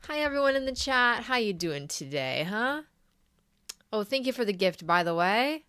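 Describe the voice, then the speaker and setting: Woman, young adult, sick, sore throat, throat clearing, smooth clear voice, casual live stream chat. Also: fairly high pitch, big pitch swings, moderate pace, a bit bright. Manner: chatty, greets viewers, frequent throat clearing. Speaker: crochet livestream host.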